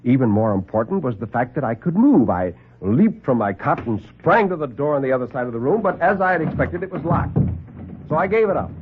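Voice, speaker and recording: A man narrating a first-person horror tale as a classic radio storyteller, theatrical and suspenseful, with deliberate pauses. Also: medium pitch, very animated, a little hiss or hum. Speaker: storyteller and actor. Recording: muffled old radio broadcast recording.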